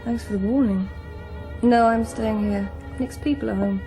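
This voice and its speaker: Actress doing a young british woman in a radio play, mellow highish voice, breezy and flippant with her parents. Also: steady tone, normal speed, faint music behind, natural voice. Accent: British English. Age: young adult. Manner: flippant, evasive, offhand. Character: breezy, defiant young grown-up daughter of a politician. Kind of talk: radio drama dialogue.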